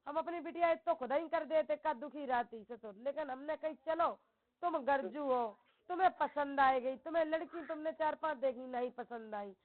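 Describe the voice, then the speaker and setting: A middle-aged woman arguing insistently and accusingly in a heated family call, recorded over a telephone. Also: sits high. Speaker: mother on a phone call. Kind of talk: heated family phone argument. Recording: tinny recorded phone call.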